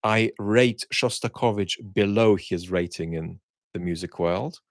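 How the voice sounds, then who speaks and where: A man hosting an online chat, talking reflectively and conversationally in articulate, opinionated phrases, often pausing and restarting words as he searches for the right one. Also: deep voice, dry recording, clean studio sound. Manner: halting, searching phrasing with repeated word starts. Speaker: host of a live chat for an online community.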